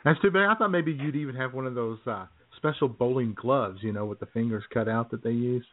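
A man of medium pitch hosts a comedy radio show, chatting casually and irreverently. He jokes with mock outrage, and the audio is narrow, like a phone line. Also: a little fast. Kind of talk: casual comic banter.

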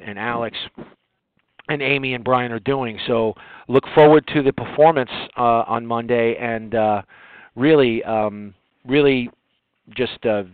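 Man, medium pitch, interview host, conversational, halting and repetitive phrasing, narrow telephone-like sound. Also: no echo. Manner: halting, repeats words as he goes.

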